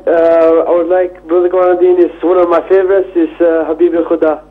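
A man's voice: on the high side, level tone, fairly quick. A male caller phoning in to a live show, polite and enthusiastic. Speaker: phone-in caller. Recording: tinny phone line.

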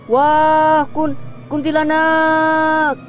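A man voicing a skeptical but easily frightened young college student in a cartoon, colloquial and expressive, with a Jakarta accent. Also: very high-pitched, drawn-out words, lo-fi sound, faint music behind, full character voice. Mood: terrified. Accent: Jakarta Indonesian. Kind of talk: animated cartoon dialogue.

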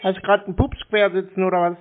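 A man bantering on a call in a deadpan, flippant, provoking way. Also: high-pitched, fast. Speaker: prank call recipient, scambaiter. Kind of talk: teasing phone banter. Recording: dull, lo-fi audio.

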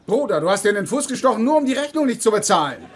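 An actor playing an indignant, thrifty husband and friend in a dubbed television sitcom. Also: male voice, high-pitched, very animated, racing.

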